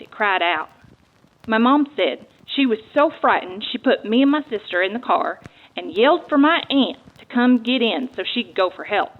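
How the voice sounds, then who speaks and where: A woman giving a dramatic reading of eyewitness accounts from a book. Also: on the high side. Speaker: guest reader. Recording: thin, phone-like narrow band.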